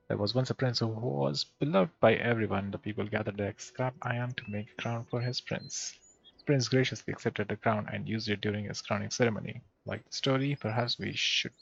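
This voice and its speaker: A man playing through a fantasy game, reading the dialogue aloud and adding casual remarks, with a gravelly voice. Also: lowish voice, a bit bright, highs cut off. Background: game music.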